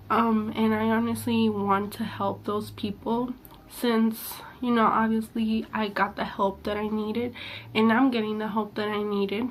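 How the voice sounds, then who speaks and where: A young woman vlogging about her personal past, earnest and halting, with a slightly sick-sounding voice. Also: medium pitch, slight background noise. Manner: hesitant, halting, many fillers and restarts; candid and earnest. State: sick, voice a little off. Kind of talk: personal confessional vlog.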